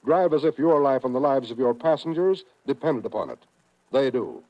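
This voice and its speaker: An American man working as a 1950s radio announcer, reading a scripted message in a measured, formal, emphatic way. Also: medium pitch, sing-song, dark tone. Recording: muffled old radio broadcast.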